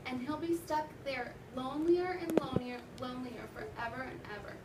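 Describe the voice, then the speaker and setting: A plaintive toy duck from a children's storybook, played by a woman reading aloud. The voice is high and apologetic, heard from far off over clear room noise. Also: brisk, natural voice. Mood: despairing. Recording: roomy, noisy classroom from far off.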